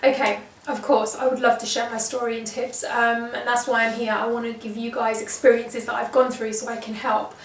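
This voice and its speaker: A woman in her early thirties from the UK, chatting to camera in a relaxed vlog with lots of ums and repeated words. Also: a little high, a little fast, hardly any pauses, far from the mic, slight background noise. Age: 30s. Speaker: lifestyle vlogger. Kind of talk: casual chat to camera. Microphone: shotgun mic on camera. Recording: echoey room, mic set back.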